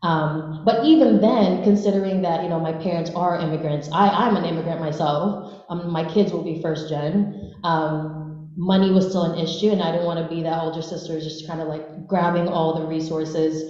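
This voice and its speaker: Echoey, mellow-voiced young Filipino woman. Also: on the low side, steady tone, moderate pace. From Philippines. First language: Bisaya. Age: 20s. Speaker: panelist, dancer. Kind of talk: casual personal storytelling at a panel. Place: large echoey room at a live panel event. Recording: very echoey hall, slightly muffled.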